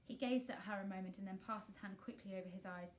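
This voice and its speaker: A woman narrating a children's novel aloud in an expressive, scripted storytelling style. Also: medium pitch, fast, slight background noise. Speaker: storybook reader.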